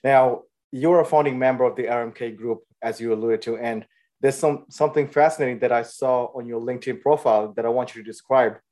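A man hosting an interview speaks at an ordinary pitch. He asks curious questions and cheers his guest on with quick, appreciative remarks. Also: steady tone, darkish tone. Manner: curious and encouraging, with quick affirmations. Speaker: interviewer, host.

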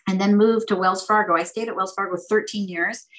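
A woman in her fifties from San Francisco, a banking executive answering interview questions in a measured, orderly way, laying out her points as lists. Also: mid-range voice, steady tone, a bit bright, rough recording. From San Francisco, United States. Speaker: guest, banking executive and board director.

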